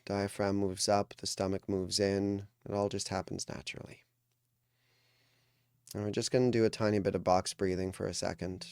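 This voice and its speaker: A man leading a guided meditation as a coach, speaking in a calm, soothing, deliberate way with pauses. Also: fairly low pitch, clear, resonant tone, no echo, clean studio sound.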